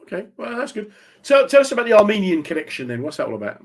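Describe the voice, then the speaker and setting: Jokey male chat-show host, teasing, very animated intonation. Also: high-pitched. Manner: teasing, jokey, quick asides. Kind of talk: joking casual chat.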